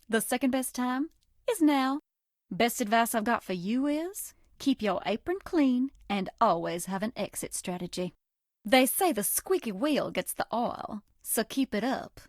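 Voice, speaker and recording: Woman acting a sugary, high-voiced Southern belle. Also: dry recording, studio quality, lightly acted. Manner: coy, sugary, folksy. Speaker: voice actress. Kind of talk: video game character voice lines.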